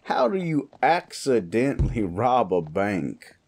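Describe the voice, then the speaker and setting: A man playing a gruff, world-weary cowboy bounty hunter in a Western audio roleplay, with a folksy, threatening yet wry manner. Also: medium pitch, big pitch swings, takes it slowly, darkish tone, a little hiss or hum. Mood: wry, curious. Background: guitar music.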